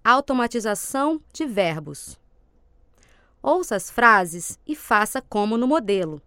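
A woman reading scripted language drill prompts as an instructor, with measured, deliberate enunciation. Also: a little high, animated, a bit bright, clean tone, no room sound, studio quality.

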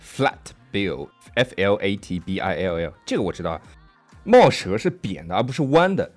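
A man's voice: sits low, sing-song, normal speed, soft background music. A man co-hosting a language podcast in casual talk, explaining words, spelling them out letter by letter and checking in with his partner. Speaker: podcast co-host teaching English. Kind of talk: casual bilingual chat, explaining English words. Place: studio in Sydney.

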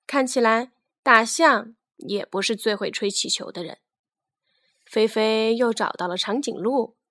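A woman narrating a scripted children's fairy tale in an expressive storytelling manner. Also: on the high side, unhurried, dry recording, studio quality.

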